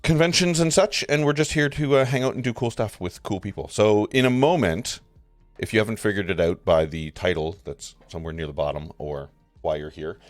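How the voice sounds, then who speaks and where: A man's voice: fairly low pitch, fairly quick, dry recording, soft background music. A man hosting a livestream in casual chat, rambling and joking as he goes. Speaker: livestream host.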